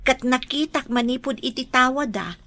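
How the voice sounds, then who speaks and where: A woman's voice: high-pitched, moderate pace, sharp tone. A woman playing a frank, concerned neighbor in a radio drama, earnest and direct, with an Ilocano accent. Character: Nancy, frank, concerned female neighbor bearing bad news.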